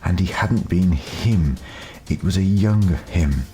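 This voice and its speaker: A man's voice: low voice, measured pace, warm tone. A man reading scripted audiobook narration of a fiction story.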